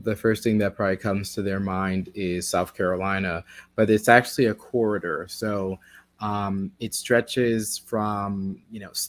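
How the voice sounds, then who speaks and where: Hesitant young man as interview guest. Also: on the low side. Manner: hesitant, many fillers. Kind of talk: casual interview.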